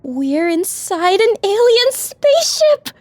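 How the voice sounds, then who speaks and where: A nervous, curious girl in a sci-fi audiobook. Her voice is extremely high and swings around in pitch, hesitant yet excitable, recorded very dry. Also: slow pace, no echo, exaggerated voice. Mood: excited. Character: nervous, curious girl tagging along on adventure. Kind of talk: audiobook character acting.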